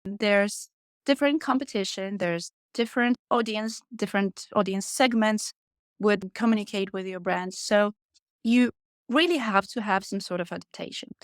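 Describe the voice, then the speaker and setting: A middle-aged woman with a Russian accent speaks as an interview guest. Her pitch is average and she sounds conversational, recorded close and dry. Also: normal speed, no room sound. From Moscow, Russia. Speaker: podcast guest, hospitality brand consultant.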